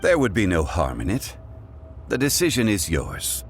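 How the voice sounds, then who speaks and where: An ancient god in human form with a low voice and expressive intonation. He is composed, stern and formal, in a dramatic game style. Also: slight background noise, full character voice. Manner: formal, dignified, deliberate. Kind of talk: dramatic video game acting.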